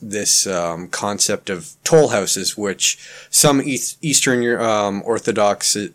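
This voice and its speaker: A man from Canada whose first language was Ukrainian, a guest author talking in a halting, filler-heavy way on a podcast. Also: lowish voice, fairly bright tone. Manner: halting, many fillers and restarts. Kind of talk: casual podcast conversation.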